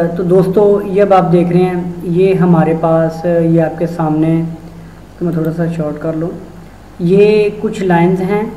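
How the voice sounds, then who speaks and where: A man's voice: high-pitched, mellow, reverberant, rough recording. A man in his thirties, talking casually to camera as he explains a technical drawing step by step, with restarts and fillers, on a lapel mic in an office. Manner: conversational teaching with frequent fillers and restarts. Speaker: HVAC instructor. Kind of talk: technical tutorial explaining a diagram. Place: office with desks and computers.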